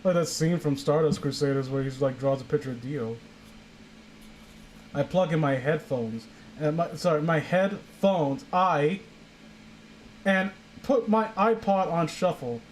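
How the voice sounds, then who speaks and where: A man's voice: fairly high pitch, unhurried, slight background noise, low-quality recording. A young man casually reading fan fiction aloud as a host, breaking off for sarcastic, sweary asides.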